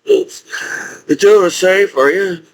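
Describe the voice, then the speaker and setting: A man in his forties speaking in a rambling, halting monologue with frequent restarts and laughs. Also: high-pitched, poor audio. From United States. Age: 40s. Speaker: YouTuber.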